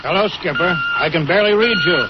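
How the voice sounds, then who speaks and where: High-pitched radio operator, noisy. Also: male voice, expressive, fast. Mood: reassuring. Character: friendly, loyal male Earth base radio operator. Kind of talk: 1950s radio acting. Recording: dull noisy vintage radio with buzzing.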